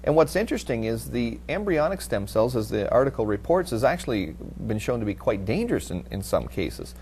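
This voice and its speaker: A middle-aged man hosts a TV panel discussion at an ordinary, medium pitch. He reads from articles and comments haltingly. There is audible hum and noise behind him. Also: sing-song, darkish tone. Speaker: TV panel host. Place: TV studio at a panel table.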